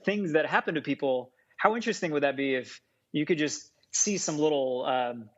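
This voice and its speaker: A man who grew up speaking Farsi, a food scientist and chef, talking casually as a podcast guest in a rambling, animated way with lots of fillers. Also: a little high, normal speed. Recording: dull lo-fi sound.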